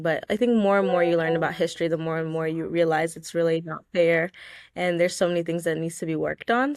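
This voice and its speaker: A woman's voice: fairly low pitch, no echo, clean studio sound. A young woman, a student guest from Georgia in the United States, answering interview questions casually with many "like"s and "um"s. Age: young adult. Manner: chatty, many fillers like "like" and "um".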